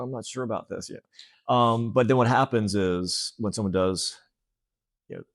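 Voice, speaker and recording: A man's voice: fairly low pitch, no echo, clean studio sound. A man in his late fifties talking earnestly and casually as an interview guest, often breaking off to restart. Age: late 50s. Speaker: fitness center owner, interview guest.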